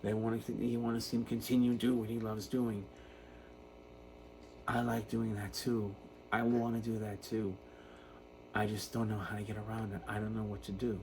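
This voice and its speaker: A man in his fifties giving an unscripted personal monologue in a halting, self-reflective way. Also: lowish voice, level tone, darkish tone, low-quality recording. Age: 50s. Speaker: video creator talking about personal issues. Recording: noticeable background noise.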